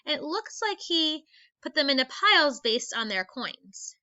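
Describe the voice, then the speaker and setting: A woman's voice: high voice, sing-song, unhurried, bright, forceful tone, highs cut off. A woman teacher reading aloud a lesson for young children in an instructional manner, explaining things step by step. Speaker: elementary school teacher.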